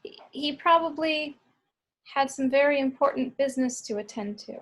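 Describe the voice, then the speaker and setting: A woman's voice: sits high, normal speed, fairly bright tone. A woman playing an earnest, deferential young male superfan in an amateur script read. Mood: apologetic, earnest.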